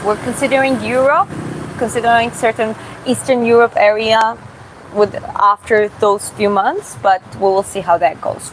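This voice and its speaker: A woman from Georgia who streams and boxes, reading viewer comments aloud in a live Q&A and answering casually, often pausing and restarting to find her words. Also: medium pitch, poor audio. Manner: casual, halting, searches for words with many restarts. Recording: steady background hum.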